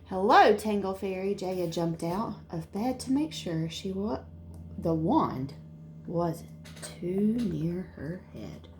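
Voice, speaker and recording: Slow, animated woman reading to children. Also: fairly low pitch. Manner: expressive, explains words simply to children. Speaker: second-grade teacher. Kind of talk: children's storybook read-aloud with simple explanations.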